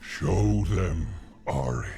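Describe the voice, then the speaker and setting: Ancient tree guardian, extremely slow. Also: male voice, animated, over music, full character voice. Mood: ominous, mournful. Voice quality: gravelly, deep. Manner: slow, solemn, ominous delivery. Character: ancient giant tree guardian spirit, grave and embittered. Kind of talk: scripted video game acting.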